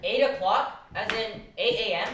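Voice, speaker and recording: A woman's voice: on the high side, normal speed, natural voice. A woman playing a restless worker who questions the drudgery, with theatrical, probing delivery in an amateur stage play. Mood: wondering. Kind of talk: amateur stage one-act play, absurdist dialogue. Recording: very echoey hall, distant mic, faint noise.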